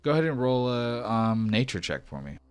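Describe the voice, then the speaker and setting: A man's voice: medium pitch, sing-song, fairly quick, smooth, dry recording. A man narrating a tabletop roleplaying game as its game master.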